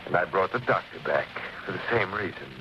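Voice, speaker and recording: A man's voice: low voice, expressive, fairly quick, noisy background. An actor playing a menacing, vengeful madman in 1940s radio style, speaking in a taunting, theatrical way. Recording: dull 1940s radio recording with music.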